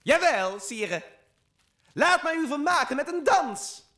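A man's voice: extremely high pitch, sing-song, slow, sharp tone, a little hiss or hum, full character voice. A man playing an eager, hapless court jester in a 1950s-style radio play, theatrical and keen to please.

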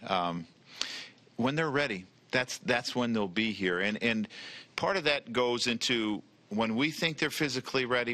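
A man in his forties, a baseball manager, answering reporters at a press conference table mic, measured and often repeating words as he starts. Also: medium pitch, pushed and bright. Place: press room in front of a team backdrop. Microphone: table mic with a logo flag.

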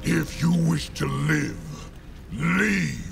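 Gravelly, menacing warlord. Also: male voice, on the high side, lively intonation, heavily acted. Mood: commanding, menacing. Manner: slow, grave, formal. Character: stern, menacing male warlord, ruthless and commanding. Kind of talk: video game fight intro dialogue. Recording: prominent music behind.

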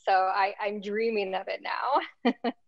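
A woman's voice: medium pitch, steady tone, slow, gravelly, dry recording. Chatty young woman on dull, dry call audio. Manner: chatty, laughs easily, uses "like" and "right". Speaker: panelist. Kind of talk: casual panel talk. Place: home room. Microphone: video call.

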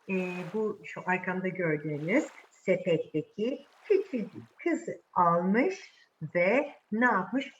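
A woman's voice: medium pitch, fairly bright tone, dull audio. A woman telling a fairy tale to children, speaking to them directly and repeating words for emphasis. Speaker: children's storyteller, channel host.

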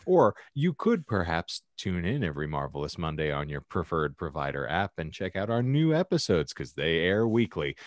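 A man's voice: fairly low pitch, no room sound. A man hosting a podcast with wry banter, speaking in a wordy, florid, mock-formal and joking way into a close microphone. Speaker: podcast host. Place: home room lined with shelves, video call. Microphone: close desk microphone.

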